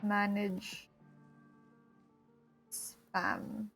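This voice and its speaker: A woman's voice: medium pitch, little pitch movement, drawn-out words, vocal fry, fairly bright tone. A woman chatting casually as she live-streams a game. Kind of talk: casual live-stream chat while gaming. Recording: game music behind the voice.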